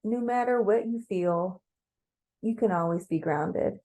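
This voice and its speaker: A woman's voice: medium pitch, unhurried, mellow, natural voice. A woman voicing a compassionate male planet guide in a story, matter-of-fact and reassuring. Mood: calm, reassuring.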